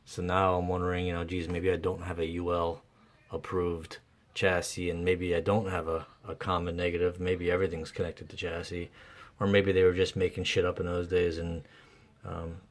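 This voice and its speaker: A man talking casually and without a script through a repair as host, haltingly thinking aloud. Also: deep voice. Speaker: host of a radio repair video. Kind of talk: casual unscripted repair commentary.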